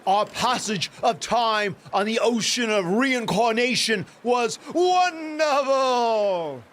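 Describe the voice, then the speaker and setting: A man's voice: very high-pitched, expressive, slow pace, fairly bright tone, full character voice. An actor playing an eccentric mystical ferryman in a video game, speaking in flowery, grandiose, theatrical lines. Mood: solemn, theatrical.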